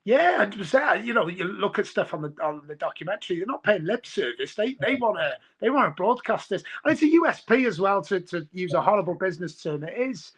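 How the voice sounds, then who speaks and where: Chatty North Welsh man, bright voice. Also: high-pitched, big pitch swings, brisk, few pauses, breathy edge. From North Wales. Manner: chatty, rambling with many restarts. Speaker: podcast guest. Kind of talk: casual chat.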